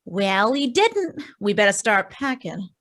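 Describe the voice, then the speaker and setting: An actress playing a sardonic, slangy American female crook in an old-time radio crime drama, quick with sarcastic wisecracks. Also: mid-range voice, big pitch swings, fairly bright tone. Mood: sarcastic, bitter. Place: performed from home on a video call.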